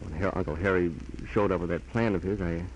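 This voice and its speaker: Casting: lovestruck, insecure American kid brother, lowish pitch, halting delivery, vintage radio play with surface noise. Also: male voice, steady tone. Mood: dejected, confessing. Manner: hesitant, stammering. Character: self-doubting, lovestruck kid brother of a businessman. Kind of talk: 1940s American radio drama acting. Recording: noisy old 1940s radio recording.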